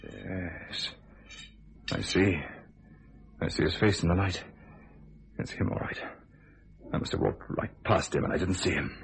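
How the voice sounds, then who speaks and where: An actor playing a shifty, defiant criminal in a radio thriller, brusque and sardonic. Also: male voice, low voice, a little slow, vocal fry, pauses often, slight background noise. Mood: grim, tense.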